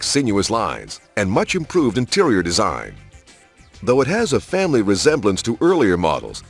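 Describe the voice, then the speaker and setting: A man reading scripted promotional documentary narration in a polished, measured announcer style. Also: on the low side, breathy edge. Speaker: narrator of a racing game's car showcase. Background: music.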